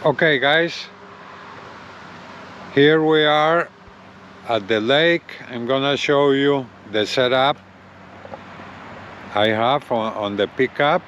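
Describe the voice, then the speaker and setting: A man giving a casual hands-on product demonstration as a reviewer, halting and often checking in with the viewer. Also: mid-range voice, fairly bright tone, dull audio, slight background noise. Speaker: gadget reviewer presenting a CB radio.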